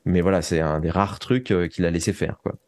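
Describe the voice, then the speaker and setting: A man with a fairly low voice talks casually as a guest in an interview. He often doubles back on words while looking for the right phrasing. The sound is close and dry. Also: fairly quick, a little mellow, no echo. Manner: hesitant, repeats words while searching for phrasing. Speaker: interview guest, audio fiction writer.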